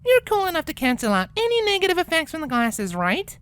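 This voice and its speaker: Woman playing a high, bookish cartoon pony princess. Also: huge pitch swings, brisk, fairly bright tone, full character voice. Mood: reassuring. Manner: precise, patient, explanatory. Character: polite, bookish, pedantic young female cartoon pony princess. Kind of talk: character acting in a fan-fiction story reading.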